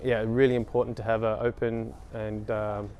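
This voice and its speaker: Man, 30s, race mechanic, casual interview, hesitant, monotone. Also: on the low side, normal speed. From Australia. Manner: hesitant, many ums and restarts.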